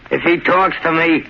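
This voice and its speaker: A man's voice: a little high, gravelly. An American man playing a simple, obedient, shell-shocked war veteran with a childlike manner, in 1930s radio drama style. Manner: childlike, dull-witted, docile. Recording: muffled, hissy 1930s radio recording.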